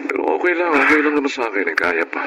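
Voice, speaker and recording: An actor playing a proud, touchy, middle-aged jobless husband in a radio drama, speaking emphatically. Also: male voice, medium pitch, big pitch swings, rapid-fire, heavy background noise, natural voice. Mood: dejected, stubborn.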